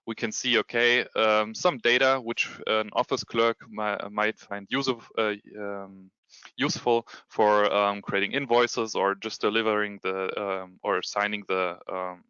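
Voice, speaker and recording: German-accented male presenter on a video call, halting and full of fillers, bright crisp tone. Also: lowish voice, fairly even intonation, no echo, lo-fi sound. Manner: hesitant, many ums and restarts. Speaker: security consultant presenting a demo. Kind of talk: webinar presentation with screen share.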